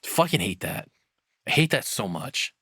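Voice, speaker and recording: A man's voice: on the low side, very animated, a little slow, gravelly, pushed and bright, slightly rough, dry recording, studio quality. A man giving casual, rambling reaction commentary as a streamer, swearing freely in an exasperated way.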